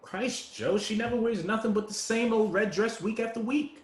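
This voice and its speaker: A man playing an easygoing, good-natured homeless man in a play reading, gentle and appreciative, with folksy street talk, recorded in an echoey room. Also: sits high, normal speed, close to an everyday voice. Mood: gossipy. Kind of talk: dramatic table reading of a stage play. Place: indoor room with echo, virtual reading.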